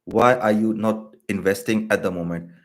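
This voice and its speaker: Thirties man from Pakistan, finance YouTuber; low voice, hesitant, filler-heavy but steady explanations. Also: normal speed. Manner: hesitant, many ums and uhs, steady and explanatory. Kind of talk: live-stream explainer with viewer Q&A.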